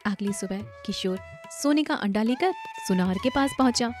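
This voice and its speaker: A woman's voice: mid-range voice, lively intonation, normal speed, a little mellow, soft background music. A woman narrating a scripted children's story.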